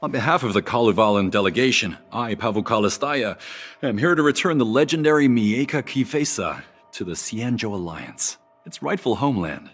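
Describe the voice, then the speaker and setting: An actor playing a polite, slightly awkward delegate in a video game, formal, courteous and a little hesitant. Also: male voice, on the low side, lively intonation, moderate pace, soft background music. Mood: grateful, formal.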